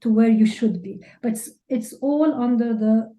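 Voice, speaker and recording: A woman's voice: on the high side, normal speed, dark tone. A woman from Lebanon with a Lebanese accent, answering interview questions candidly and informally, often saying "like". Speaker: interview guest, business leader and mentor.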